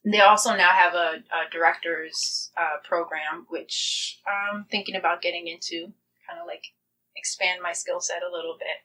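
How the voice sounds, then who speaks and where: Bright-voiced woman, casual and enthusiastic. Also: lowish voice, steady tone, moderate pace, a little hiss or hum, poor audio. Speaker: featured playwright and poet, interview guest. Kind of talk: casual chat.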